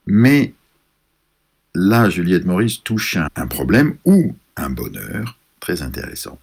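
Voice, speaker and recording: A man from France, an author, telling a reflective personal story in a measured, pausing way, searching for his words. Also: low voice, big pitch swings, creaky, husky.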